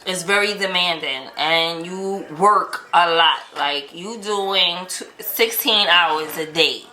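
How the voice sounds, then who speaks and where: Casual female vlogger, American, unhurried and bright, animated retelling of conversations. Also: lowish voice. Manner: animated retelling with quoted dialogue. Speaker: vlog host telling a couple's story. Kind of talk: casual storytelling chat. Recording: roomy echo, light background noise.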